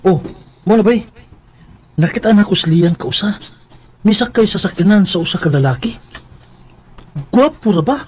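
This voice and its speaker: A man's voice: high-pitched, expressive, moderate pace, pauses often, a little hiss or hum. A man playing a chatty, teasing best buddy in a radio drama, speaking casually with a Cebuano Filipino accent. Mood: cautioning.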